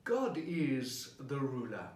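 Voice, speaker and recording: Slow, reflective priest in a roomy office. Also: male voice, mid-range voice, very animated, darkish tone. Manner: reflective, posing questions to the listener. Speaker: parish priest. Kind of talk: sermon preparation talk. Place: office at a desk, indoors. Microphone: camera at desk distance. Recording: roomy, mic at a distance.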